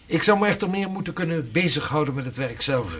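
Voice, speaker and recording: An actor playing an earnest 16th-century navigator and mapmaker who talks in a measured, formal way. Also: male voice, a little high, expressive, fairly bright tone, slightly rough. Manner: measured, formal, old-fashioned speech. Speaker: seafaring cartographer. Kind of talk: radio drama acting.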